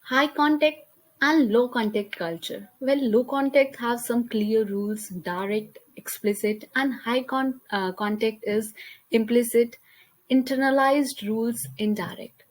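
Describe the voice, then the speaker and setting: A young woman with an Indian accent, speaking English as her second language, presents a class talk over slides. Her voice sits a little high, and she reads carefully, restarting now and then on a word. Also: a little slow, rough recording. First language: Hindi. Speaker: student presenter. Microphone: computer mic, voice-over on slides.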